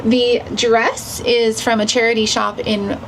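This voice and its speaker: A woman's voice: fairly high pitch, little pitch movement, unhurried, slight background noise, low-quality recording. A woman chatting casually for a travel vlog in a self-deprecating way. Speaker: travel vlogger.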